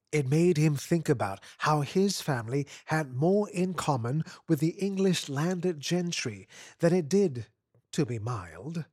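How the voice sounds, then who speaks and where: A man's voice: mid-range voice, darkish tone, dry recording, studio quality. A man narrating a piece of short fiction aloud in a scripted, literary reading style.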